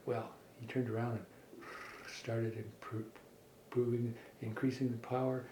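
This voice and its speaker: A middle-aged man telling a made-up children's story in a halting, folksy way, repeating words as he goes. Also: mid-range voice, flat delivery, dark tone. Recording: echoey room with faint background noise.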